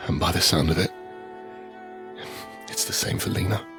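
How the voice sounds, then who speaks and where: An actor playing an anguished, guilt-ridden survivor in a post-apocalyptic audio drama, brooding and halting, in a hushed confessional manner. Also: male voice, low-pitched, huge pitch swings, gravelly, music in the background, full character voice. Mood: subdued, haunted. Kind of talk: dramatic first-person audio drama narration.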